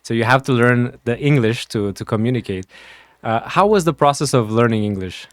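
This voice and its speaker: A man's voice: mid-range voice, lively intonation, normal speed, dry recording. Brazilian guy interviewing someone, friendly, stumbles and repeats words, dry sound. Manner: friendly, repeats words when asking questions. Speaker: interview host. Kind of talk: casual interview. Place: in person at a summit.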